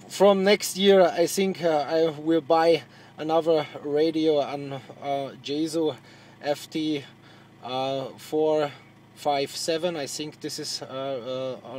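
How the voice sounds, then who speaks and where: Halting Hungarian-accented man, higher-pitched, noisy radio audio. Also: darkish tone. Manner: halting non-native English, repeats words. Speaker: truck driver and amateur radio operator. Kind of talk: casual radio contact chat.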